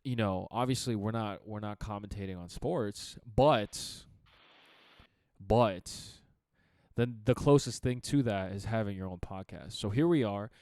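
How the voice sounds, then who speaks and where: A young man co-hosting a casual podcast chat, with a deep radio-type voice, animated and quick to laugh. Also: no room sound. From Chicago area. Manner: animated, laughs often, talks in bursts with restarts. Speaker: podcast co-host.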